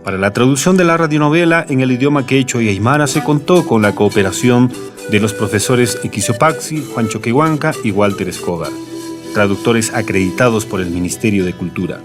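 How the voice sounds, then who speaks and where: A man's voice: medium pitch, a little fast. A man reading scripted documentary narration. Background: guitar music.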